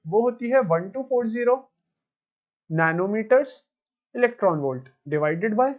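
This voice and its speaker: A man's voice: sits high, lo-fi sound. A man with an Indian accent explaining step by step as a physics teacher, addressing students directly and mixing Hindi with English.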